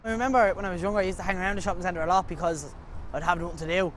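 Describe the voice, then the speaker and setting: Fast-talking young Dublin man. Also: high-pitched, steady tone, fairly bright tone, slight background noise. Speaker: presenter. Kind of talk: documentary presenting and interview.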